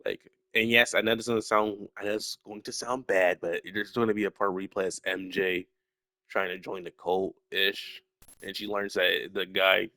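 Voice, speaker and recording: A man's voice: low voice, little pitch movement, moderate pace, pushed and bright, no echo. A young man with a stutter, talking casually and at length as a solo video creator, full of fillers and restarts. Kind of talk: rambling casual monologue.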